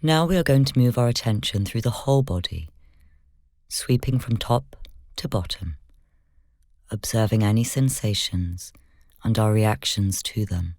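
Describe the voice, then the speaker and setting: A meditation guide leading a guided session in slow, measured, gentle instruction, soft-spoken with whispery moments. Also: mid-range voice, dry recording, clean studio sound.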